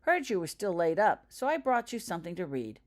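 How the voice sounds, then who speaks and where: A woman's voice: lowish voice, big pitch swings, brisk. A woman reading a slick schoolboy bully in a storybook, cheerful on the surface with veiled menace. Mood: falsely cheerful.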